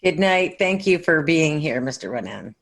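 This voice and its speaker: A woman's voice: deep voice, animated, normal speed. A middle-aged or older woman chatting casually as a podcast co-host. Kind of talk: casual chat.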